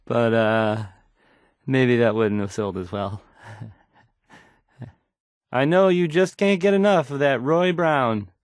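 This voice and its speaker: A man's voice: mid-range voice, slow, pauses often, no echo, clean studio sound, close to an everyday voice. An actor playing an awkward, self-doubting young male radio DJ in a game, hesitantly rambling through song introductions. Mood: awkward, unsure.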